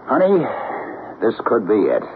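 A man's voice: mid-range voice, wildly swooping pitch, a little slow. An actor playing an eager, slangy male swindler in a 1970s radio drama. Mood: eager, excited. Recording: tinny, noisy 1970s radio recording.

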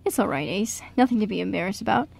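Woman playing an excitable male theater director. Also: mid-range voice, quick pace, vocal fry. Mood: amused. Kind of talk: amateur radio play acting.

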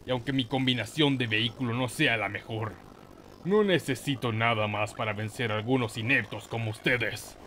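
A man's voice: medium pitch, moderate pace, bright, forceful tone, a little hiss or hum. A man giving casual, slangy, mock-boastful gaming commentary with some swearing. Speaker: gameplay host.

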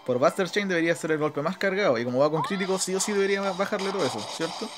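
Chilean male gamer, slightly high voice, informal slangy play-by-play, game music faintly behind. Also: very fast. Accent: Chilean Spanish. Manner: informal, slangy, swearing, talking to himself. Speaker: gaming video host. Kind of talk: casual live gameplay commentary. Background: video game music.

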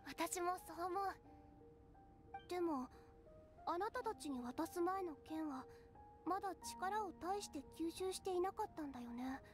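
A woman voicing a shy, earnest young female game alchemist, soft and girlish, stammering and precise in her wording. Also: extremely high pitch, a little slow. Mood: earnest, fascinated. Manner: hesitant stammering, formal and precise. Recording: game music behind the voice. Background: game music.

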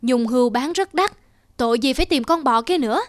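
A woman's voice: sits high, expressive, brisk, no room sound, clean studio sound. A woman voicing a lazy, easily distracted, grumbling peasant husband in a folktale cartoon. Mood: excuse-making, excited.

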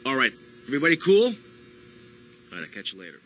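An actor playing a hot-tempered, commanding young crime boss in a video game, with a curt, tough manner. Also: male voice, mid-range voice, wildly swooping pitch, fast talker, fairly bright tone, slight background noise. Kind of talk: video game cutscene acting.

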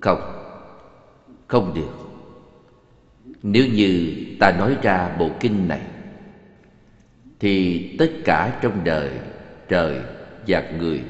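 A man playing a serene, solemn Buddha teaching in measured, formal speech in an animated religious drama. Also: low-pitched. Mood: grave, refusing. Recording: reverberant hall echo, slightly dull sound.

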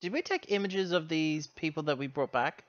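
A man's voice: fairly high pitch, moderate pace, a bit bright, no room sound, dull audio. Male tabletop player, dry close sound. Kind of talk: in-game table discussion.

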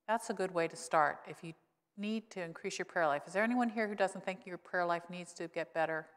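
A woman in her sixties, a pastor, preaches a conversational sermon with wry humor from a church pulpit microphone. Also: brisk. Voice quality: voice grown lower since illness, she says. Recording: long reverb of a church sanctuary.

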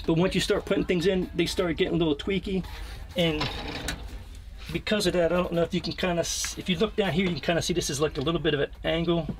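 Man, American accent, fifties, somewhat high pitch, casual tour narration, hesitant, small camper interior, camera mic, noisy sound. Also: steady tone, fast talker. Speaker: trailer camper builder giving a tour. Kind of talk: casual unscripted walkthrough. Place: inside a small camper trailer with open door. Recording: clearly noisy location sound with clicks.

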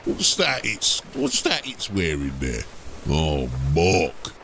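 A man voicing a gruff, exasperated ork mechanic chief in a storybook, with rough, bellowing, slangy speech and a gravelly voice. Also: sits low, wildly swooping pitch, slow pace, a bit bright, slight background noise, voice pushed down, heavily acted. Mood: wary, grumbling.

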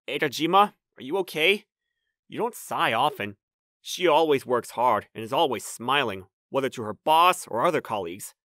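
A woman plays a nervous young office man in a manga dub. The voice is forceful and dry, swinging widely as he gets flustered and explains himself in earnest, self-deprecating narration. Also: extremely low pitch, lively intonation, normal speed, no room sound. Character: flustered, timid twenty-nine-year-old male office worker, narrating his life.

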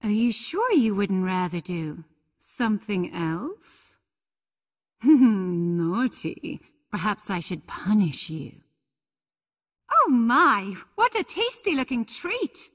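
An actress voicing a seductive, playful, teasing succubus demon in a fighting video game, with a sultry, flirtatious delivery. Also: female voice, mid-range voice, big pitch swings, slow, a bit bright, heavily acted.

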